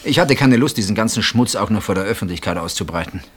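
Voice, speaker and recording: Radio drama role: betrayed older husband, very low voice, sardonic, brooding, wounded. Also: male voice, expressive, fast, a bit bright, breathy edge. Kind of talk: German radio play acting.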